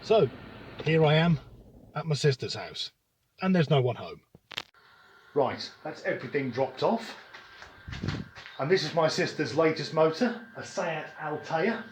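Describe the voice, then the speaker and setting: A man's voice: a little high, very animated, measured pace, long pauses. A man from Norwich with a generic southern English accent, giving crude, sardonic commentary while driving. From Norwich, England. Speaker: vlogger. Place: inside a car on the road. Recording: distant mic under loud road noise.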